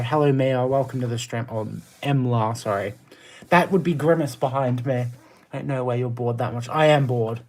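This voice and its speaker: A man with an Australian accent chatting casually on a livestream, answering viewer comments in a blunt, exasperated, emphatic way. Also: mid-range voice. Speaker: live streamer.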